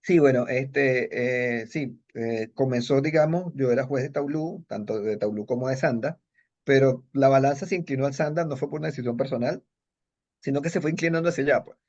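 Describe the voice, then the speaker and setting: A middle-aged Venezuelan man speaking Spanish with a Venezuelan accent, an interviewee recalling his past at a brisk clip. He rambles, circling back with fillers and restarts as he goes. The audio is dull and lo-fi, as if from a video call. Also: mid-range voice, a little mellow. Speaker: kung fu master and judge, interviewee. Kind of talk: casual interview storytelling.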